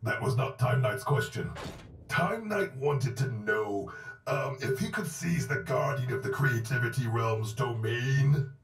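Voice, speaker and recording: A man's voice: medium pitch, animated, measured pace, soft background music. A self-important would-be knight in a game, pompous and formal. His mid-range voice moves up and down a lot and rings with echo. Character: self-important costumed knight speaking in third person. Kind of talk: video game cutscene acting. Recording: echoing, roomy sound.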